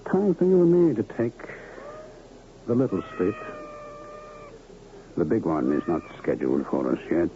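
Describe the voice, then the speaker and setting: Lonely old man, very low creaky voice, wistful, lovelorn, radio drama. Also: huge pitch swings, full character voice. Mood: resigned, tender. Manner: slow, wistful, poetic musing to himself. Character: sad, lovelorn old man grieving for his lost wife. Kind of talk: 1970s radio drama acting. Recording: muffled, hissy old radio recording.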